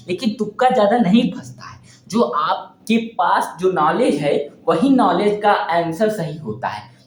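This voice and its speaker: A young man addressing exam candidates directly, emphatic and repeating phrases for effect. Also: high voice. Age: young adult. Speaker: exam preparation vlogger. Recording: roomy, echoing indoor sound.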